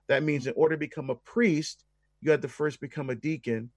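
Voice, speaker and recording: A man's voice: on the high side, steady tone, fairly quick, no room sound. A man with an American accent speaking as an interview guest, explaining things conversationally. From Barbados and the United States.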